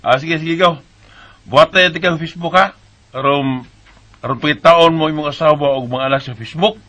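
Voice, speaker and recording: A man's voice: fairly high pitch, moderate pace, noisy background, lightly acted. A man playing a joking cousin who teases in a chuckling way and urges his relative to use social media. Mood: teasing. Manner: teasing, chuckling. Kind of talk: radio drama acting.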